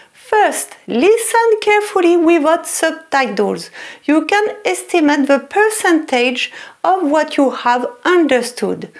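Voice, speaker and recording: Slow, lively high-voiced French woman teacher. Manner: over-articulates words and repeats them slowly for learners. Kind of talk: slow, clear lesson narration in English and French.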